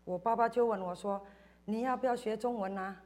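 Woman from Indonesia, dark relaxed voice, middle pitch, church testimony, short paused phrases. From Indonesia, schooled in Singapore. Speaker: church member giving testimony. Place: church service, speaking to congregation.

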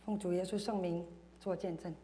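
Mellow-voiced woman giving church testimony. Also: lowish voice, fairly even intonation, brisk, slight background noise. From Indonesia, schooled in Singapore. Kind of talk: personal testimony in short phrases, paused for interpreting. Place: church service, speaking to congregation.

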